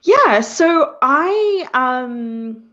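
A woman's voice: high-pitched, sing-song, drawn-out words, sharp tone, lo-fi sound. A woman with an Australian accent, a career coach speaking as an interview guest, reflective and thinking aloud. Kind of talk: conversational interview.

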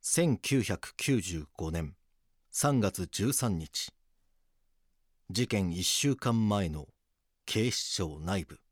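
Stern detective, lowish male voice, dry close sound, measured, serious, drama narration. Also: animated, no echo, full character voice. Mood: grave. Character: stern male police detective narrating, gruffly tender husband. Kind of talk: scripted documentary-drama narration and acting.

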